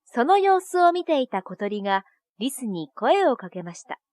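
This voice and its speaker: High-voiced woman narrating a children's story. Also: sing-song, normal speed. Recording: soft music behind.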